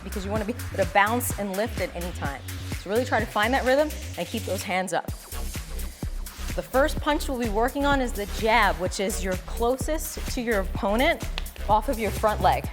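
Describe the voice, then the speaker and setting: A woman in her thirties coaching a workout, giving energetic, encouraging cues and instructions. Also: medium pitch, in a large hall. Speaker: fitness instructor. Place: bright wood-floored fitness studio. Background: background music.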